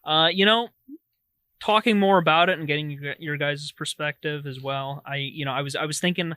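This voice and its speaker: A man's voice: fairly high pitch, fairly even intonation, normal speed, bright, forceful tone, clear, resonant tone, no room sound. A young man co-hosting a podcast, talking animatedly and stammering through restarts. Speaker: podcast co-host. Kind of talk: casual chat.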